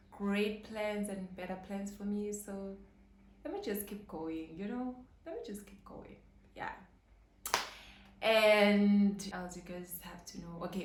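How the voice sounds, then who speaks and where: A young woman vlogging in casual chat to camera, earnest and rambling with lots of fillers. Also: on the low side. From South Africa, KwaZulu-Natal. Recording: roomy echo, faint background hum.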